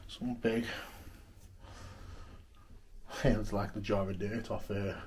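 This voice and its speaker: Slow, mellow-voiced man exploring. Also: on the low side, little pitch movement, breathy edge. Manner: slow, offhand, chuckling. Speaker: urban explorer vlogger. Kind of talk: walkthrough narration. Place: cluttered abandoned house indoors. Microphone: camera mic, handheld walkthrough.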